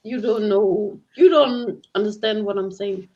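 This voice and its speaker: A woman's voice: medium pitch, measured pace, warm tone, lo-fi sound. A woman from Denmark with a Danish accent, talking casually with friends on a stream. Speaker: chat guest. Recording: faint background noise.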